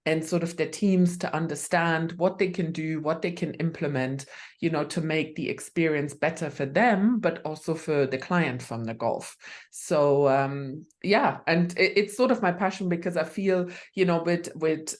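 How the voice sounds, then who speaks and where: A woman speaks as an interview guest, her voice sitting very low for a woman. She talks loosely and thinks aloud, her sentences full of ums and restarts. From Germany. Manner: hesitant, many fillers and restarts. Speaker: guest expert. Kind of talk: conversational podcast interview.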